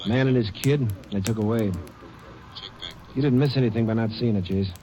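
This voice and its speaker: A man's voice: lowish voice, moderate pace, mellow, natural voice. An actor playing a helpful male lawman briefing a Ranger in plain terms, in a 1940s radio drama. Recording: lo-fi vintage radio recording with crowd.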